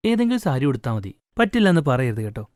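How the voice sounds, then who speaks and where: A man's voice: medium pitch, sing-song, quick pace, no echo, studio quality, natural voice. A man voicing a kind young husband who coaxes and reassures his wife. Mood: casual. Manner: gentle, coaxing.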